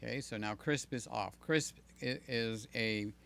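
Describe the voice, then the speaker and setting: An older man hosting a live stream, chatting loosely with viewers, his voice slightly hoarse. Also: mid-range voice, measured pace, a bit bright. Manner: rambling, jokey, talks to chat by name. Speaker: livestream host. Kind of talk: casual live chat with viewers. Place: home room with fans and air conditioner. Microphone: desk mic near the mouth.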